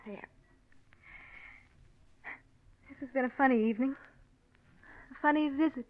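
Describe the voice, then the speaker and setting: A woman's voice: high voice, fast, a little hiss or hum, lightly acted. A woman acting as a trusting but despondent young wife in a 1940s radio drama, with a hesitant, wistful manner. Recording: dull lo-fi old radio recording.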